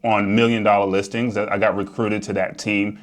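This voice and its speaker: A man with a deep voice and an American accent speaks casually to a vlog audience. He explains things as a working real estate developer and agent. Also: flat delivery, normal speed.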